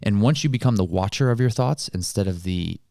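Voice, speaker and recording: A man with a low, deep voice speaks as a podcast co-host. He explains motivational ideas in casual, colloquial language, and the recording is dry and close. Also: animated, fairly quick, vocal fry, warm tone, no echo.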